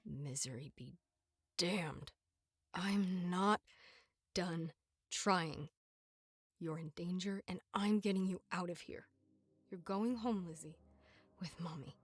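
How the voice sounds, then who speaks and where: A woman playing a weary, bitter but protective mother in a horror visual novel, brooding and halting. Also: fairly low pitch, husky, dry recording. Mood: fierce resolve.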